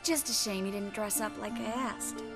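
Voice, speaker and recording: A woman voicing a lively, poised young female adventurer in a video game. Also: mid-range voice, moderate pace, music in the background, close to an everyday voice. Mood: giddy, bossy.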